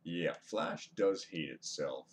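A man's voice: extremely low pitch, slow pace. A man streaming a game live from his computer, chatting with viewers and muttering over his play, recorded on a webcam mic set back from him. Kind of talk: casual live-stream chat while gaming. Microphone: webcam microphone, away from mouth. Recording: faint hiss from webcam mic.

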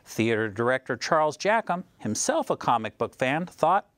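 A man's voice: mid-range voice, very animated, normal speed, fairly bright tone, no room sound, studio quality. A middle-aged American man presenting a scripted news feature.

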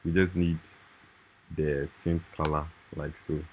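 A man's voice: a bass voice, little pitch movement, slow pace, a little hiss or hum. A man hosting a screen-recorded coding tutorial, talking informally and repeating his points with "right?" and "okay".

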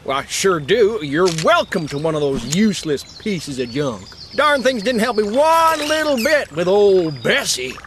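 Video game park ranger: American, folksy and friendly, lively high-set voice over background noise. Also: male voice, unhurried, few pauses, heavily acted. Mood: easygoing, grumbling. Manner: folksy, drawling turns of phrase. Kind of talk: animated video game character acting.

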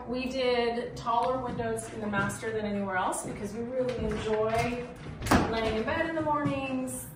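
A woman in her thirties giving a casual, explanatory walk-through tour as a homeowner, recorded at a distance inside a bare renovation site. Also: medium pitch. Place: indoors in a bare wood-framed house under renovation. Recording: roomy echo, distant mic, loud site noise.

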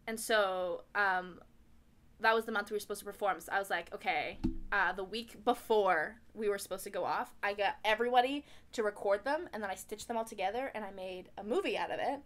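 A woman's voice: medium pitch, brisk, a bit bright. A young adult woman co-hosting a podcast, telling stories in a casual, playful, giggly way. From born in Kuala Lumpur, Malaysia. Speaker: podcast co-host. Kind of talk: casual chat, storytelling.

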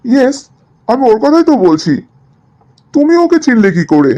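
An easygoing young husband acted by a man in a cartoon drama, coaxing and affectionate. His voice is high and mellow, swinging freely in pitch, on a slightly muffled recording. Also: sing-song, normal speed. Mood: persuasive. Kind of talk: animated cartoon drama dialogue.

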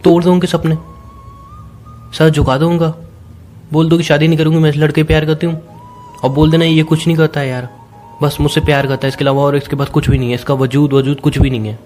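A man's voice: fairly high pitch, little pitch movement, quick pace, pauses often, a little mellow. A young man in an emotional private phone conversation, speaking in a pleading, insistent way. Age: young adult. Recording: phone call recording with music. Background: music.

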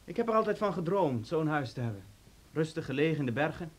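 An actor in a Dutch radio play voicing a suave, talkative police officer with an urbane, polite manner and sly menace. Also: male voice, fairly high pitch, sing-song, fast, slight background noise. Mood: genial, dry.

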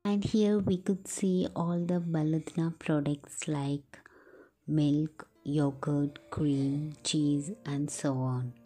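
Mellow woman narrating a farm visit. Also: low-pitched, steady tone, slow pace, soft background music. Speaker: vlogger. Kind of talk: voice-over travel narration.

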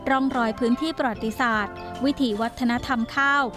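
A woman narrates a documentary in a high, measured voice. Her reading is steady and scripted. A strong music bed runs beneath her. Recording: voice-over with loud music bed.

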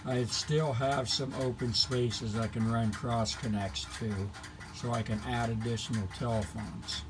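A man's voice: mid-range voice, level tone, a little slow, noisy background. A man narrating an explanatory demonstration in a methodical, matter-of-fact, technical manner. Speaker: hobbyist presenter.

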